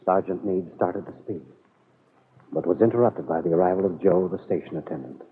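A man with a husky voice giving dramatic scripted narration for a 1940s radio serial. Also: low-pitched, fairly quick, slight background noise. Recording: muffled old radio broadcast.

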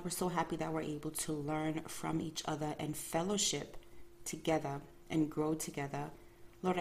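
Low-voiced woman hosting a faith podcast. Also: darkish tone, slight background noise. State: early-morning voice, a bit calmer than usual. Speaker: podcast host.

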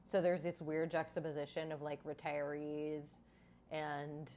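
A woman's voice: deep voice, flat delivery, measured pace, a little mellow, slight background noise. A woman hosting a podcast, chatting casually and using a lot of filler words. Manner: chatty, many "like"s. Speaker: podcast host. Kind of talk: casual interview.

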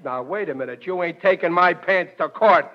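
A man's voice: high voice, normal speed, close to an everyday voice. A man playing a brash, good-humored working-class American family man in a slangy 1940s radio comedy style. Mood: mocking, amused. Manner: wisecracking, slangy, ungrammatical. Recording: dull vintage 1940s radio recording.

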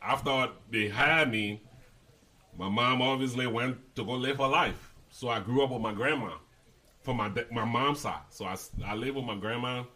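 A man in his forties with a bright, forceful voice. He tells his stories loosely, joking and laughing as he goes, at a middle pitch. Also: normal speed. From Liberia. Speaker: guest, host's father. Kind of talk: casual storytelling chat.

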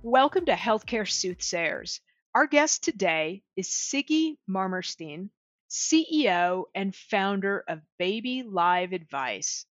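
A woman's voice: medium pitch, lively intonation, takes it slowly, fairly bright tone, no echo, muffled recording, soft background music. Upbeat woman hosting a podcast. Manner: upbeat and encouraging. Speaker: podcast host. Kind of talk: interviewing a guest on a podcast.

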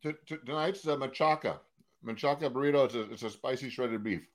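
A man with a loud voice co-hosting a sports podcast at home, folksy and joking at his own expense. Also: medium pitch, fast talker. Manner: loud voice, folksy, self-mocking jokes. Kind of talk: casual banter. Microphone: home podcast mic with a foam cover.

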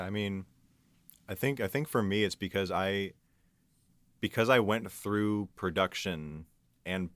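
Deep-voiced man in casual conversation. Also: fairly bright tone, no echo. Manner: informal, rephrasing as he goes. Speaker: audio engineer on a podcast. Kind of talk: casual reflective chat.

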